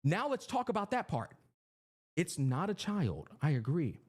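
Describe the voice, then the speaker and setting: A man in his thirties talks to his stream chat with a high-set voice. His pitch swings widely from line to line. He is emphatic and profane, hammering each point home. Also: very animated, measured pace, darkish tone. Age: 30s. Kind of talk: livestream commentary to chat.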